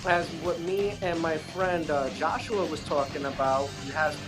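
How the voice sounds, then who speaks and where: Man, panel guest, higher-pitched voice, informal, conversational, clear music behind him. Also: normal speed. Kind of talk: casual discussion. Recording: voice under clearly audible music.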